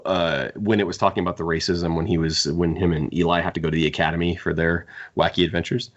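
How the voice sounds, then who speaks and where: A man's voice: sits low, flat delivery, quick pace, dull audio. A man co-hosting a book podcast, talking casually and analytically as he gives his opinions. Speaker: podcast co-host discussing books.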